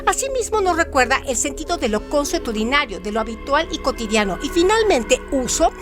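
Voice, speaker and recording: A woman's voice: sits high, very animated, fairly quick. A woman presenting on radio, reading a scripted literary essay in a formal, didactic way. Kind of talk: scripted literary essay narration with question hooks. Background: background music.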